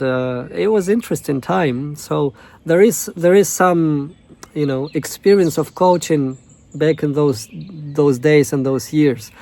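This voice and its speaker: Soft-voiced man with a tongue. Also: a little high. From Russia, Novosibirsk. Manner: halting, many fillers and restarts. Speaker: coach. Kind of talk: casual self-introduction monologue.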